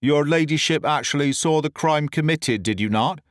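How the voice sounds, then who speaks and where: A man voicing a blunt village constable who speaks in a brisk, matter-of-fact way. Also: fairly high pitch, dry recording, clean studio sound, pitched above the performer's own voice, lightly acted. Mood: deferential.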